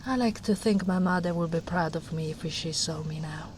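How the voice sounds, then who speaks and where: A woman voicing a gentle, protective big female ogre in a tender, soft-spoken ASMR roleplay, often slipping into whispers. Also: on the low side, moderate pace, slight background noise, lightly acted.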